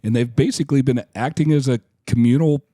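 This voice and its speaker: A man's voice: medium pitch, fairly quick, warm tone, no echo, clean studio sound. A man co-hosting a travel podcast in casual chat, fighting a cold and losing his voice. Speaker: podcast co-host.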